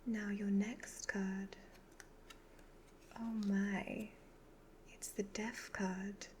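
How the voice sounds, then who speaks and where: A breathy, half-whispered evil witch acted out by a woman in an ASMR roleplay. She is a sly, hypnotic fortune teller who gives instructions very slowly in an even, level tone. Some steady noise sits behind the voice. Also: medium pitch. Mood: sly, teasing. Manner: slow, deliberate, instructing in measured phrases. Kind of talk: soft-spoken ASMR roleplay.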